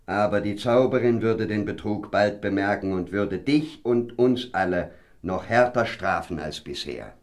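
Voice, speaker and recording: A grave, kindly old king from a fairy-tale radio play. His voice is on the low side. He speaks very slowly and solemnly. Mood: grave, concerned. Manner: measured, solemn. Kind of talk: fairy-tale audio drama acting.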